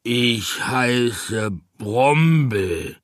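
A man voicing a huge, very old, tired bear for a children's audiobook, with a rough, weary voice and a muddled, grumbling manner. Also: mid-range voice, expressive, no echo, studio quality, pitched above the performer's own voice. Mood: slow, sleepy. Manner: slow, drawled, muddled.

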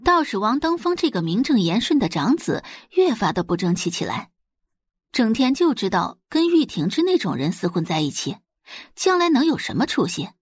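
A woman's voice: on the high side, very animated, moderate pace, breathy edge, no echo, studio quality. A woman reading scripted dramatic narration as the narrator of an audio drama.

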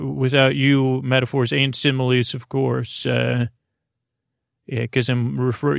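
A man hosting a bedtime podcast, rambling haltingly through whimsical, improvised asides and stumbling over his words in a creaky voice. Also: mid-range voice, dry recording, clean studio sound. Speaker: podcast host. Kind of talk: rambling improvised bedtime monologue to help listeners fall asleep.

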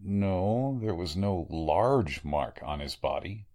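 A man playing a grave, blunt medical examiner in a 1940s-style radio drama, with a deliberate delivery. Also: low voice, animated, mellow, heavily acted. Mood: grave, uneasy.

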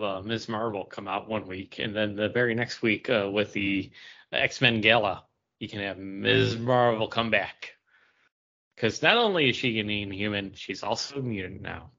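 A man's voice: lowish voice, measured pace, pushed and bright, dull audio. A man co-hosting a podcast with casual, opinionated talk about comics. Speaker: podcast co-host. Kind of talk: casual comic book chat.

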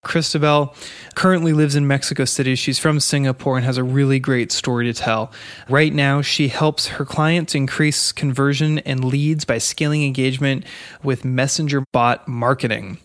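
Guy hosting a podcast, average pitch, really dry recording, talking casually to listeners. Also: fairly even intonation, moderate pace, dry recording. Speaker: podcast host. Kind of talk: podcast introduction and interviewing.

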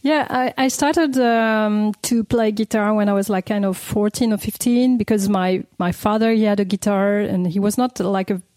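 A mellow, warm-toned woman talks through her answers in a relaxed interview. She often restarts her sentences and laughs lightly as she searches for words. The sound is dry and close, with no room around her. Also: medium pitch, normal speed, few pauses, no room sound. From France (Paris). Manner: hesitant, many restarts, laughs between phrases. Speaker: guest, DJ and producer.

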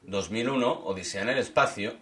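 Catalan-accented male co-host, bright tone, jokey casual chat. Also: fairly low pitch, a little fast. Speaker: podcast co-host. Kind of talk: casual banter.

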